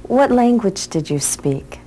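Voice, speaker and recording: A woman presenting a lesson, asking interview questions in a clear, measured way. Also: mid-range voice, lively intonation, dark tone. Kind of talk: scripted educational interview.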